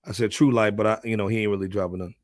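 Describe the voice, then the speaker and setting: A man's voice: on the low side, racing, dry recording, clean studio sound. A man hosting a podcast in casual hip hop discussion, with a slangy, conversational delivery peppered with asides. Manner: slangy, animated asides, frequently says "man". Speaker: podcast host.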